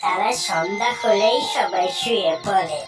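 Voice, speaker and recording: A performer voicing a menacing, man-eating male ghost in a cartoon horror tale, slowly relishing the thought of flesh. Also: mid-range voice, natural voice. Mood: hungry brooding. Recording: echoey, distant, loud music behind.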